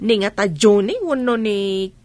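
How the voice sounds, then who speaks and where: A woman's voice: medium pitch, a little slow, dry recording, close to an everyday voice. A woman playing a nosy, teasing village gossip with an Ilocano accent in a radio comedy drama.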